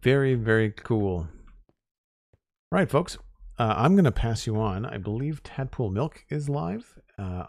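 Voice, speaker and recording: Low-voiced, slightly hoarse man streamer. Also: no echo, clean studio sound. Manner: relaxed, chatty, talks to chat. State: losing his voice. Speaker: Minecraft streamer. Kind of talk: casual live-stream chat while building.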